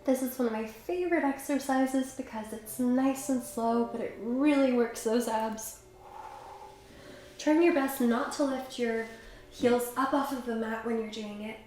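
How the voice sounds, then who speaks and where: A young woman with a slight cold, calmly and encouragingly guiding a Pilates workout while she does the moves herself. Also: fairly high pitch, measured pace. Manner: calm, encouraging, talks while exercising. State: has a cold, slightly stuffy. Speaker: pilates instructor. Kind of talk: guided workout instruction. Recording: very echoey room, faint background noise.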